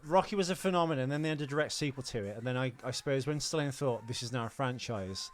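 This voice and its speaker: A man's voice: medium pitch, fast talker. A man co-hosting a podcast, chatting casually, explaining film trivia and swearing freely. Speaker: podcast co-host.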